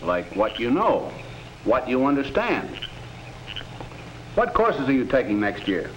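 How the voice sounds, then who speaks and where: A patient, middle-aged American dad from a 1950s classroom film. His delivery is earnest and instructive, with a very lively melody. The sound is muffled, with faint hiss. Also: mid-range voice, measured pace. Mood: matter-of-fact. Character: earnest, patient father advising his teenage children. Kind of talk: 1950s educational film acting.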